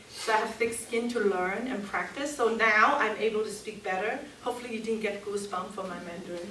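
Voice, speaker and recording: Lively Hong Kong woman teaching a seminar. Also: a little high, a little hiss or hum. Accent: Hong Kong Cantonese. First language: Cantonese. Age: 50s. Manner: lively, engaging the audience with questions. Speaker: workshop speaker. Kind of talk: live seminar talk. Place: seminar room. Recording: echoey room, mic at a distance.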